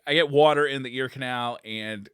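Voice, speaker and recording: A man co-hosting a podcast in casual chat, joking and swearing freely in an animated way. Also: mid-range voice, moderate pace, smooth, no room sound. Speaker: podcast co-host.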